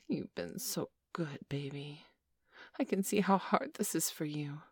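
A woman's voice: low-pitched, expressive, unhurried, a little mellow, husky, no room sound, clean studio sound. A woman performing an intimate roleplay, teasing and commanding, slipping often into whispers.

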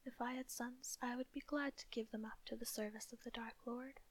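A ruthless, proud fanatic who scorns weakness , whispered by a woman reading aloud. The hush is husky and breathy, with little rise and fall. This is a storybook reading. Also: fairly high pitch, steady tone, moderate pace, lightly acted. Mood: scornful.

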